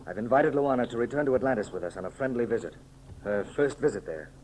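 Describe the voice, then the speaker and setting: An actor in a 1930s radio serial playing a bold, commanding hero emperor with a declamatory, decisive delivery. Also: male voice, mid-range voice, animated, fast talker, darkish tone, natural voice. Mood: brisk, composed. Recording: noisy old 1930s radio recording.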